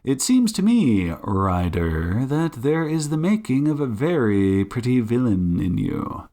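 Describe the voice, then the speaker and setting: A man playing a shrewd, commanding detective in an audiobook, suave and mocking, with deliberate, condescendingly polite delivery. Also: medium pitch, very animated, warm tone. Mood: stern, accusing. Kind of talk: audiobook character acting.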